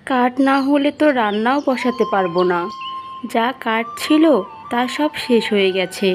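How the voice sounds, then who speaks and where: A woman's voice: a little high, expressive, normal speed, over music, natural voice. A woman voicing an anxious, practical village wife and mother in a cartoon, persuading and coaxing as she talks. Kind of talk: cartoon story dubbing.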